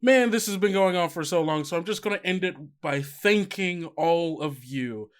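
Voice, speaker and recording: High-voiced man chatting casually as podcast host. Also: normal speed, smooth. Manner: playful, self-deprecating, rambling. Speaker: podcast host and game master.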